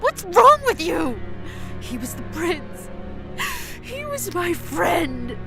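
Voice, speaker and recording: An anguished young goddess in a fantasy audio drama. Her very high voice is strained with tears and pain, dragging slowly through stammered, desperate accusations. Faint music plays underneath. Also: sing-song, bright, forceful tone, exaggerated voice. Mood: desperate anger. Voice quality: strained, breathy gasping delivery. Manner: halting, stammering, pleading outbursts. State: crying, in pain.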